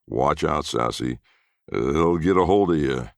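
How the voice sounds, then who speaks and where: Man as a creaky, ominous old tale-teller. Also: extremely low pitch, lively intonation, measured pace, dry recording, clean studio sound, heavily acted. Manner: drawling, folksy, ominous. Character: evil old man telling creepy tales slowly. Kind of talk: acted storytelling dialogue.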